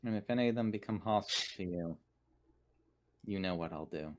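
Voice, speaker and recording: A man voicing a formal, dutiful and protective android in measured, precise phrases for a tabletop game. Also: sits low, natural voice. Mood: protective, solemn.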